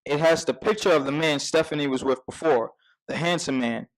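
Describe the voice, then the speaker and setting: A man with a fairly high voice narrates a screenplay. He reads scene headings and stage directions as scripted narration at a table read. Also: fairly quick, poor audio.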